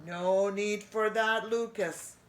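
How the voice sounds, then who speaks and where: A woman's voice: medium pitch, steady tone, slow pace, natural voice. A woman putting on a sneering pirate rat. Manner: rough, sneering, mock-sweet. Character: sneering pirate rat gang leader, sly and menacing.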